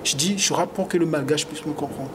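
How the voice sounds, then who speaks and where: A man's voice: on the high side, brisk. Earnest man with restless restarts. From Togo. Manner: earnest, animated, with frequent repetitions and restarts. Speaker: rapper and activist guest. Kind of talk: conversational interview answers. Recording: audible background noise.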